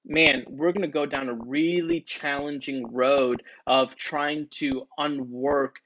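A man's voice: mid-range voice, fairly even intonation, slow, fairly bright tone, muffled recording. A man talking earnestly in a casual faith discussion, with many restarts. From Indian heritage, not thick accent. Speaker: podcast co-host, ministry team member.

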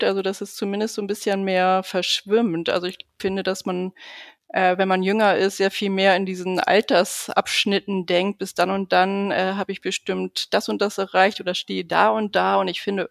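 Husky-voiced woman in her forties, hesitant. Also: medium pitch, no echo, clean studio sound. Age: 40s. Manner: thoughtful, hesitant with many fillers, laughs often. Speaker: podcast guest. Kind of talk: casual podcast interview.

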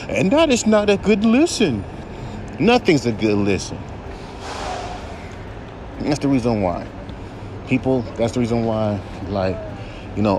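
A young man with a droll baritone voice, ranting bluntly and profanely on his solo podcast. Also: background noise. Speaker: podcast host and rapper.

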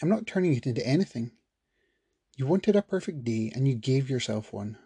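A man voicing a calm, cold woman who speaks flatly and without feeling. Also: mid-range voice, a little fast, slightly rough, no room sound. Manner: flat, unfeeling, unattached.

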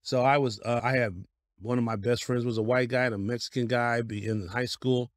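A middle-aged man of average pitch, a pastor from Colorado, tells his life story as an interview guest. His delivery is folksy and easygoing, breaking into laughter. The sound is dry and close to the mic. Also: fairly even intonation, brisk, no echo. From Denver, Colorado. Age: 50s.

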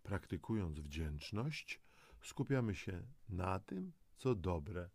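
Low-voiced man giving motivational narration. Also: big pitch swings, slow pace, darkish tone, no echo. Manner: encouraging, addresses the listener directly. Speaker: presenter of a self-help audio guide.

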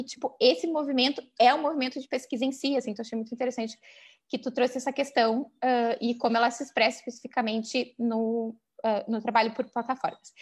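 A woman with a Brazilian accent in an informal academic discussion, speaking as a host who thinks aloud in hedged, halting phrases. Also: on the high side.

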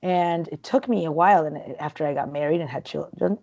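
A low-voiced woman from Peru chats freely as an interview guest, wandering from thought to thought with plenty of fillers and restarts. Her tone is dark and mellow. The recording sounds a bit dull and lo-fi. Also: fairly quick. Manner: chatty and rambling, many fillers and restarts. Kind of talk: casual interview conversation.

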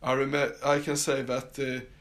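A man's voice: mid-range voice, flat delivery, a bit bright. Swedish-accented man hosting a podcast. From Sweden. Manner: halting, many fillers and restarts. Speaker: podcast host. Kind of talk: casual solo podcast monologue. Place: summer house.

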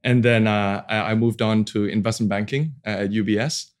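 A man in his forties from Hong Kong speaks as a guest in a casual interview. His voice sits fairly low, and he feels his way through sentences, often dropping in "you know" as he goes. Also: fairly even intonation, moderate pace. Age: 40s. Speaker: podcast guest, venture capital founder. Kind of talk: casual interview talk about own career.

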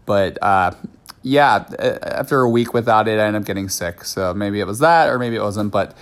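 A man hosting his own podcast, talking casually and candidly while he has a cold, with lots of fillers and quick asides. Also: on the low side. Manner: chatty, candid, many fillers and self-asides. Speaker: podcast host. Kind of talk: casual solo podcast talk.

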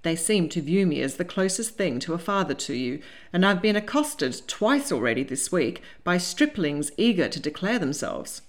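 A woman's voice: on the low side, sing-song, normal speed, hardly any pauses. Woman acting a hearty, teasing older English-novel lord. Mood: amused. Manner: jovial, teasing.

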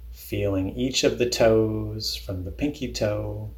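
A man leading a guided body scan meditation in a calm, deliberate, instructional way. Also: on the low side, reverberant.

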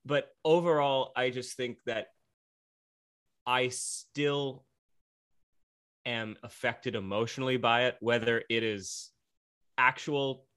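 Young man, Pennsylvania accent, bright voice, eager and chatty. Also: mid-range voice, fairly even intonation, slow, pauses often, smooth. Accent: Northeastern Pennsylvania. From Pennsylvania, USA. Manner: eager, chatty, frequent fillers. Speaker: podcast guest. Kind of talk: casual interview chat.

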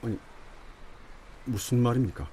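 A man's voice: mid-range voice, unhurried, warm tone, slightly rough. An actor playing a polite young man who speaks in formal, earnest sentences.

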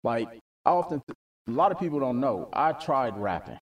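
Host: man, mid-pitched voice, upbeat and affirming, a little off-mic. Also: big pitch swings, moderate pace, distant mic, dull audio. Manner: upbeat, affirming, frequent "right" and "definitely". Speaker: podcast host. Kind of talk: podcast interview.

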